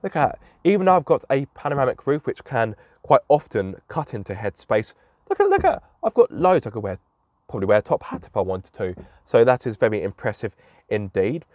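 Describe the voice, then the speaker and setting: A man's voice: mid-range voice, wildly swooping pitch, brisk, darkish tone, no echo. A young man with a blocked nose, sounding slightly nasal, giving a chatty car review from inside the vehicle and often correcting himself. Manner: chatty, self-correcting with fillers. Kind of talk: casual car walkaround review.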